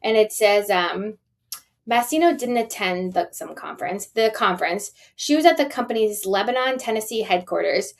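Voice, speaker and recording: A woman's voice: mid-range voice, moderate pace, creaky. Woman podcast co-host, chatty and emphatic. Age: 40s. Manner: animated storytelling with rhetorical questions and emphatic asides. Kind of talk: casual conversational business news chat.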